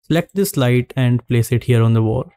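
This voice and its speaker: A man narrating a screen-recorded 3D modeling tutorial, giving calm step-by-step instructions with frequent pauses. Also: mid-range voice, clean tone, dry recording.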